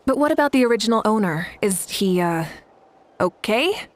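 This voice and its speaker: A woman playing a courteous, composed young leader in a video game, speaking politely in a measured, formal way. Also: mid-range voice, animated, close to an everyday voice. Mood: composed, inquiring.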